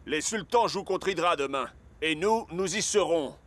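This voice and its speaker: A man's voice: on the high side, expressive, moderate pace, a bit bright. A man voicing a firm, no-nonsense football coach in a French cartoon dub, commanding and decisive.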